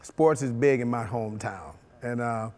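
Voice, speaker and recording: Relaxed man telling stories. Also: mid-range voice, normal speed, long reverb tail. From Mississippi, US. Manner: relaxed, chuckling, many you-knows. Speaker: former football player. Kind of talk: storytelling interview. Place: studio with dark backdrop.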